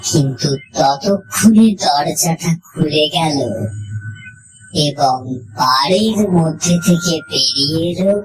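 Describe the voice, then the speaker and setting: ধীর লয়ে ভূতের গল্প বলা নারীকণ্ঠ. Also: female voice, low voice, poor audio. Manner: suspenseful, with dramatic pauses. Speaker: horror story narrator. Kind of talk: scripted dramatic first-person storytelling. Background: music.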